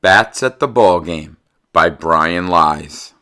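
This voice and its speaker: A middle-aged American man, a teacher speaking to young children with animated, exaggerated emphasis. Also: low-pitched, takes it slowly. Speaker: teacher reading stories aloud to young pupils. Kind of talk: casual chat to children, then storybook read-aloud. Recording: echoey room, a bit far from mic.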